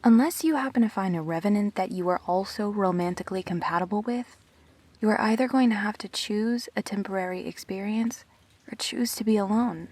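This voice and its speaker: A woman acting an earnest, guarded immortal best friend in an audio drama, speaking in measured, reflective phrases with pauses. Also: mid-range voice, a little mellow, natural voice. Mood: earnest, persuasive.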